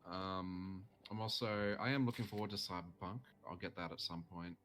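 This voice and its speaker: A young Australian man chatting casually while streaming a game, relaxed and laughing easily, with frequent ums. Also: low voice, moderate pace. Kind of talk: casual chat while gaming.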